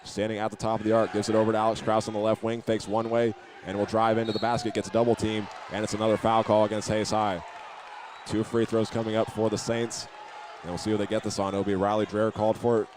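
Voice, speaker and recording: A man giving steady, methodical live play-by-play commentary of a high school basketball game. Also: quick pace, a crowd in the background. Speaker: play-by-play announcer. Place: indoor school basketball gym. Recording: live gym broadcast with clear background noise.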